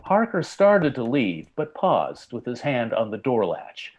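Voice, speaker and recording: Medium-pitched male radio drama narrator. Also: huge pitch swings, unhurried, a little mellow, highs cut off. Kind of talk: scripted narration in old time radio drama style.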